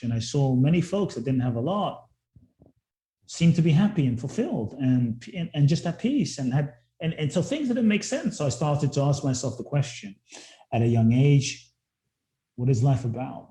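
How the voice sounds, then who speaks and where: A man's voice: medium pitch, mellow. A man, a guest speaker telling his story in an earnest, reflective way. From born in Ghana, grew up in London. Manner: earnest, reflective, repeats words when starting sentences. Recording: roomy echo.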